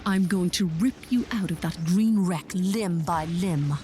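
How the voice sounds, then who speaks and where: A woman's voice: on the low side, measured pace, over music, close to an everyday voice. A woman playing a vengeful, domineering female general, the villain of a science fiction audio drama, commanding and menacing. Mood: furious. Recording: faint background noise.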